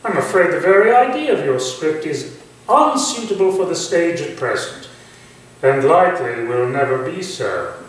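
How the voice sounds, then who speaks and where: A man playing an imperious, disdainful Victorian actor-manager in a staged play reading, pompous, theatrical and deliberate. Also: fairly high pitch, very animated. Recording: echoey hall from far off, faint noise.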